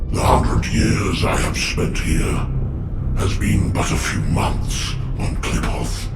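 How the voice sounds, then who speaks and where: An actor voicing a menacing, bitter alien warlord in a video game, with slow, grandiose, gravelly delivery. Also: male voice, heavily acted. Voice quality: gravelly, very deep. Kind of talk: dramatic video game villain monologue. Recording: echoing, with music underneath.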